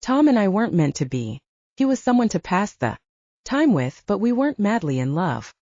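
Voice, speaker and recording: Woman as a breezy male wizard, lively pitch. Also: moderate pace, no echo, muffled recording. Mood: teasing, wistful. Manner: teasing, breezy.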